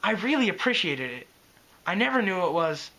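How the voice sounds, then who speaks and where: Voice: medium pitch, big pitch swings, moderate pace, pushed and bright, pitched above the performer's own voice. A reader voicing an earnest, eager young dragon boy. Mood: dazed.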